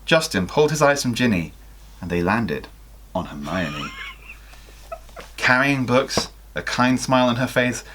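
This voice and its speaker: A man's voice: mid-range voice, sing-song, measured pace, pauses often, a bit bright, a little hiss or hum. A young adult man reading a story aloud in a theatrical way, acting out the dialogue. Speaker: guest reader of listener fan fiction. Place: small attic bedroom, indoors.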